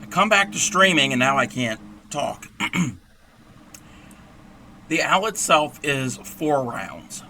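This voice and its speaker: A man from Texas, hosting a casual crochet livestream in a hoarse, scratchy voice, chatting and rambling with self-deprecating jokes. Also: a little high, expressive, takes it slowly, pauses often, bright, forceful tone. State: hoarse, scratchy voice with frequent throat clearing. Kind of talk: casual craft tutorial while livestreaming.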